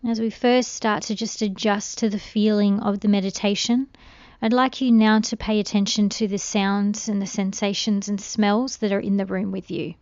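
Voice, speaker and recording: A woman guiding a meditation in a gentle, soothing, unhurried way. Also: mid-range voice, level tone, dry recording, muffled recording. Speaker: meditation guide. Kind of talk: guided meditation.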